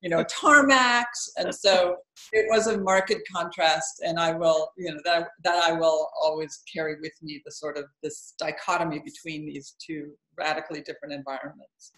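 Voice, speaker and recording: A middle-aged woman from Finland, an architect answering interview questions in a thoughtful, measured way. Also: low voice, fairly even intonation, a bit bright. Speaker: architect and dean, interview guest. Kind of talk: relaxed reflective interview answers. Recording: roomy, echoey sound.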